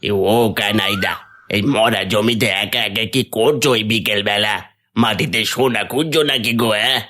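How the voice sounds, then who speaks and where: A man's voice: on the low side, normal speed, bright, forceful tone. A man voicing a cheeky, scornful village neighbour who talks in a teasing, sarcastic way in a cartoon. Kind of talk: Bengali cartoon folk tale acting.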